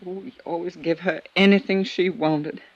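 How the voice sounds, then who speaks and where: An actress playing a loyal, deferential housekeeper with folksy, unschooled rural speech in a 1950s American radio drama. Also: female voice, fairly low pitch, fairly even intonation, normal speed, dull audio, lightly acted. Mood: deferential, subdued. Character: loyal, deferential female housekeeper devoted to her young charge.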